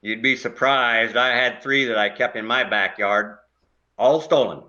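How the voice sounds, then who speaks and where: A man's voice: medium pitch, a little slow, pushed and bright, muffled recording. A man playing a grumpy older widowed neighbor in a stage play, blunt and dryly complaining. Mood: grumbling, resigned.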